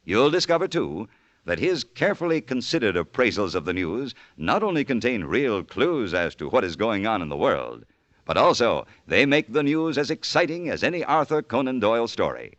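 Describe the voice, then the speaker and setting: Animated American radio announcer man, dull vintage sound. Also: medium pitch, moderate pace, no echo. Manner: polished, formal. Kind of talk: scripted 1950s radio promo.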